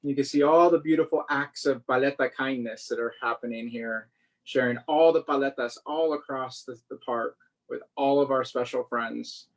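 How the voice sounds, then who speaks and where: A picture-book author reads aloud for children at a middling pitch. The delivery is animated and expressive, turning sing-song on refrains and slipping into chatty commentary between lines. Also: normal speed, rough recording.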